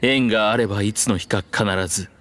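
An actor playing an ancient, proud dragon king in human form, speaking gravely and formally in a measured way for a game. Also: fairly low pitch, fairly bright tone, slight background noise, close to an everyday voice. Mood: solemn resolve. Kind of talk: Japanese game voice acting, archaic dramatic dialogue.

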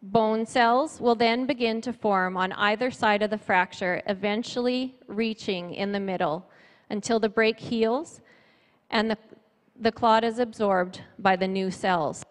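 A middle-aged woman speaking at church in a measured, earnest way, giving testimony and scripture from notes on a handheld microphone. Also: medium pitch. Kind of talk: sermon with personal testimony and scripture reading. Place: church stage before a seated audience, indoors. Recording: reverberant hall, amplified church sound.

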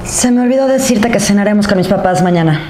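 A woman's voice: mid-range voice, animated, quick pace, reverberant, noisy background, lightly acted. A woman dubbing a casual mother from a TV series, in amateur fandub style. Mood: matter-of-fact.

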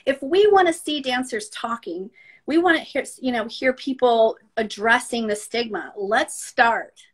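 A woman's voice: on the high side, measured pace. A middle-aged woman hosting a live video call interview, talking in an encouraging way with many fillers and restarts, on a webcam at home. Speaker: interview host. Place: home room, indoors.

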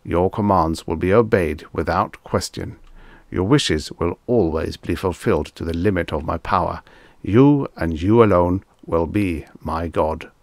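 A man voicing a devoted robot servant in an audiobook story, speaking formally and with measured servility. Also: deep voice, no echo.

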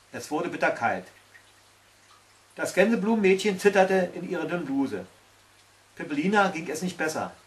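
A man in his sixties reading a fairy tale aloud at home, as a storyteller. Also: on the high side. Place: small tiled-stove corner indoors at home. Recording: recorded from a distance.

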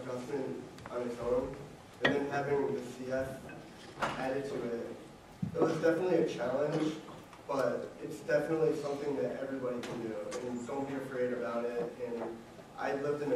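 Young man speaking in an echoey room. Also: mid-range voice. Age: 20s. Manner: earnest, advice-giving, many fillers. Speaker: panelist. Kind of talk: casual personal account. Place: large meeting room, indoors. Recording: very echoey room, distant mic, noisy.

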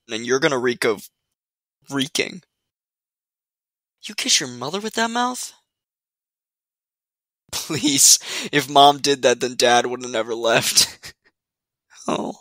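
A man acts out an irritated young guy in a radio play, arguing on the phone. His delivery is slow but very animated, with sardonic emphasis and a bright, forceful tone. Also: mid-range voice, no echo.

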